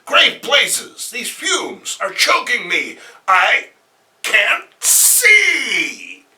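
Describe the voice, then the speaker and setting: Man acting an earnest, bright-voiced young hero. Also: very high voice, huge pitch swings, very slow, recorded from a distance, voice pushed up, heavily acted. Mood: choking panic. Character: earnest young male superhero, urgent and plotting.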